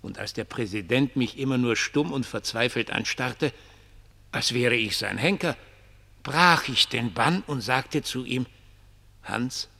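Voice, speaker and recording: An actor in a German radio play as a ragged homeless dreamer, mocking, prophetic and pitying, with theatrical, declamatory delivery. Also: male voice, medium pitch, unhurried, creaky, pushed and bright, full character voice. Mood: dreamy, pitying. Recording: long reverberant hall.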